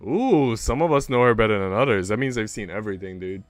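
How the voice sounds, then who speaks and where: A man's voice: on the low side, animated, fast talker, no room sound, soft background music. A man livestreaming, reading story narration aloud and adding slangy, playful casual commentary.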